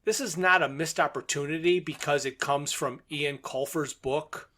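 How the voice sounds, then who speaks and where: A man's voice: on the high side, normal speed, pushed and bright. A man co-hosting a podcast, recapping a plot in a methodical, matter-of-fact way. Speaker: podcast co-host.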